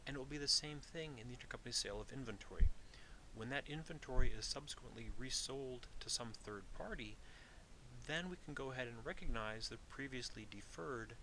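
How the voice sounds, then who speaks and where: Bright-voiced male instructor over faint hiss. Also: mid-range voice, low-quality recording. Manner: methodical, deliberate explaining with restated points. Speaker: accounting instructor. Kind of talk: explanatory lecture narration.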